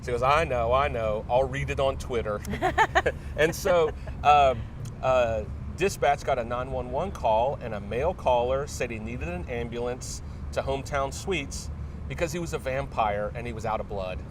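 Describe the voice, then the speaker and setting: A middle-aged man being interviewed, telling casual anecdotes in a halting, hesitant way. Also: lively intonation. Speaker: interview guest, newspaper media director. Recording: distant mic, clear background rumble.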